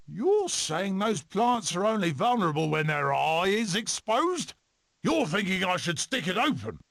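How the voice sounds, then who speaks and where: Manic weasel adventurer, wildly animated. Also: male voice, sits high, creaky, a little hiss or hum, full character voice. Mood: musing, chatty. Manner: theatrical, eccentric, exclamatory. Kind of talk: video game character voice clips.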